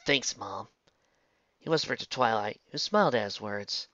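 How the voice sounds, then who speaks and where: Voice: medium pitch, animated, a little fast, fairly bright tone, no echo, dull audio. A narrator reading a fan fiction story in a scripted storytelling style.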